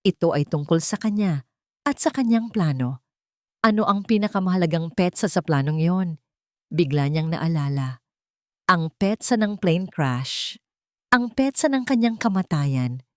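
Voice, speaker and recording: A woman with a Filipino accent reading a scripted love story as a narrator, in a dramatic, deliberate way. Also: lowish voice, lively intonation, no room sound, studio quality.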